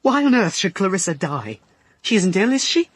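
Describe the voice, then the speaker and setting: A young man acting in a radio drama as a naive seventeen-year-old, defensive, halting and questioning. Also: sits high, sing-song, breathy edge, no room sound, exaggerated voice. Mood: anxious disbelief.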